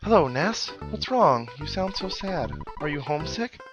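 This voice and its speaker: Guy doing a sweet caring game mom on the phone, cheery n a bit higher, music playing behind. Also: big pitch swings, moderate pace. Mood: sweet concern. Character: sweet doting mother on the telephone, hurried and cheerful. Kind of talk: video game dialogue read aloud.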